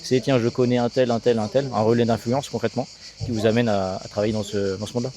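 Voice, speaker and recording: A man's voice: mid-range voice, fairly even intonation, fast talker, dark tone. A man in his thirties from Alsace giving a talkative, casual interview, peppered with business jargon. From Alsace, France. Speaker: sales agency co-founder being interviewed.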